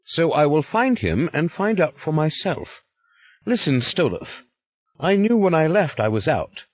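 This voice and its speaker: A man's voice: fairly high pitch, big pitch swings, a little slow, no room sound, muffled recording, voice pushed up. A man doing a curt, defiant excommunicated man. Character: proud angry male ex-member, suspicious.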